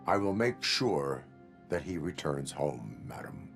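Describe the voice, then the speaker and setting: An actor playing a stern cyborg police officer in a video game, speaking in formal, stiff, by-the-book phrases. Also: male voice, a bass voice, sing-song, takes it slowly, a bit bright, soft background music, exaggerated voice.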